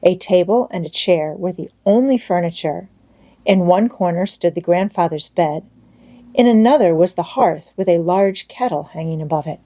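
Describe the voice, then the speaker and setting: A woman reading a children's classic aloud as scripted storybook narration, in a measured, expressive manner. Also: lowish voice. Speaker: audiobook narrator.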